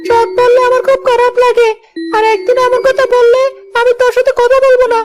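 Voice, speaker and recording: A woman's voice: very high voice, level tone, fairly quick. A woman playing a loyal, protective little village brother in a cartoon story, earnest and pleading. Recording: prominent music behind the voice.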